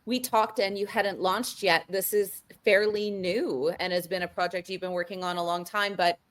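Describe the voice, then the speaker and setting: A woman's voice: mid-range voice, fairly even intonation, normal speed, a bit bright. A woman hosting a podcast interview who asks questions and responds in an upbeat, encouraging way.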